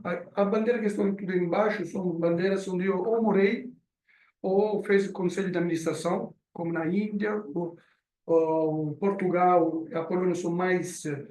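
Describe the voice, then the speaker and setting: A man's voice: sits high, darkish tone. A middle-aged man from Italy, with an accent mixing Italian and French, giving a hesitant business presentation with many fillers. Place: meeting room. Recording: roomy sound from a distant mic.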